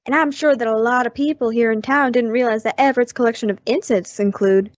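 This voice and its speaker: A woman's voice: a little high, fast, close to an everyday voice. A woman playing a polite, earnest young female bank clerk in an old-time radio western. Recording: dull lo-fi audio.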